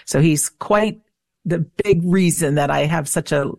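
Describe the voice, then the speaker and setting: A middle-aged woman with a low voice and noticeable vocal fry. She talks in an earnest, emphatic way, like a talkative interview guest, and the recording is dry and close. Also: unhurried, warm tone, dry recording. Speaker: interview guest, afterlife show host and author. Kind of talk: conversational radio interview, personal storytelling.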